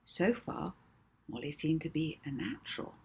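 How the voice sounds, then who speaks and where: Husky, low-voiced woman reading a story. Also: expressive, normal speed, darkish tone. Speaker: author reading own novel aloud. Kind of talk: expressive children's audiobook narration.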